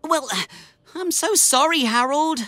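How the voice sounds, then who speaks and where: A woman voicing a cheerful, helpful young male tank engine in a children's cartoon, with an eager, chirpy, expressive manner. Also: sits high, slow pace, no echo. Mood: sympathetic, helpful. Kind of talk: children's animated cartoon acting.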